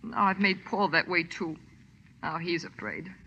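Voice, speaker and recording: A proud, fearful young wife in a forties radio play. Her voice is very bright and strained, quite low for a woman's or not, always earnest and halting, on a dull old recording. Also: steady tone, husky, full character voice. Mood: contrite, earnest. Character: proud, frightened young wife, tense and contrite. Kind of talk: 1940s radio drama acting. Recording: dull, band-limited old radio broadcast.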